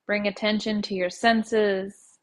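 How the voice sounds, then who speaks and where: A woman guiding a meditation as a teacher, speaking in a calm, measured way with pauses between phrases. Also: mid-range voice. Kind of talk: guided meditation narration.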